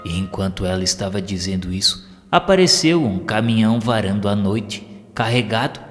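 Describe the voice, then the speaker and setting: A Brazilian man narrates an inspirational story in a low voice, with expressive, dramatic phrasing. His voice carries a long reverberant tail, and music plays softly behind it. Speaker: narrator of a spiritist audio story.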